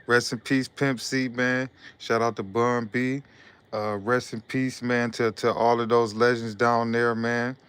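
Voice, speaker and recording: Laid-back man, smooth steady voice. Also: medium pitch, a little slow. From Detroit, USA. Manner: loose and repetitive, reading out viewer comments. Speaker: live stream host. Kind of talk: casual live-stream chat with viewers.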